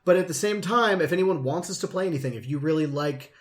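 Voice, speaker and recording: Higher-voiced man in casual podcast banter. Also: fast talker. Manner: profane, joking, self-deprecating. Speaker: podcast host. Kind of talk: casual chat. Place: kitchen.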